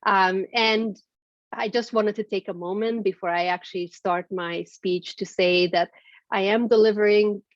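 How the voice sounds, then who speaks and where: A woman's voice: medium pitch, steady tone, unhurried, no room sound. A woman from Transylvania, Hungarian by first language, delivers an earnest prepared speech over a video call. She often stops to restart her phrasing. The audio is dull and lo-fi, in a dry space. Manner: earnest, with frequent fillers and restarts. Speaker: executive director, guest speaker.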